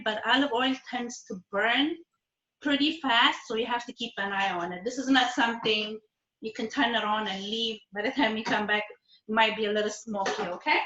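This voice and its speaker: A woman's voice: a little high, sharp tone, echoey room, dull audio. A woman from Ethiopia and Eritrea teaching a live online cooking class from her kitchen, friendly and patient, often checking in with her students. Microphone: video call.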